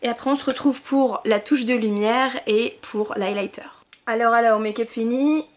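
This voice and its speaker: Woman, beauty vlogger, bubbly tutorial chat, fairly high voice, soft trailing asides. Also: normal speed.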